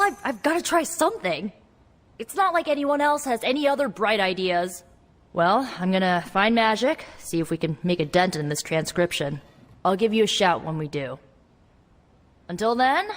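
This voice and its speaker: A woman's voice: medium pitch, expressive, moderate pace, close to an everyday voice. A woman playing an eager, stubborn young sorceress in a video game, talking in a casual, colloquial way. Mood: determined. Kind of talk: video game cutscene acting. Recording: long reverb, music behind. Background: music.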